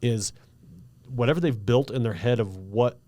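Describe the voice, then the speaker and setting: A man's voice: medium pitch, normal speed, warm tone, no room sound. A man hosting a podcast in casual chat, chatty, often laughing and acting out quotes. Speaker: podcast host.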